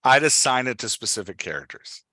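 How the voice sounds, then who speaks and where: Bright-voiced man coaching in casual conversation. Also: medium pitch, big pitch swings, brisk, no echo. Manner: explains step by step, often checking understanding. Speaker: podcast host and writing coach.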